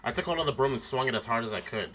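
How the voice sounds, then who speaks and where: A man's voice: mid-range voice, animated, racing, sharp tone, soft background music, rough recording. A man voicing an earnest, anxious teenage schoolboy who narrates his own mystery in a visual novel read-aloud, with dramatic expression. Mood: tense narration.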